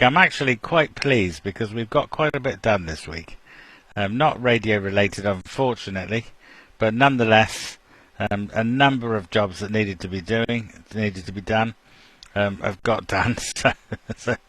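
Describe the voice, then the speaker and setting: A man's voice: lowish voice, fairly bright tone, dry recording. A man hosting an amateur radio net, chatting casually and laughing often between remarks. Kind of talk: casual over-the-air chat.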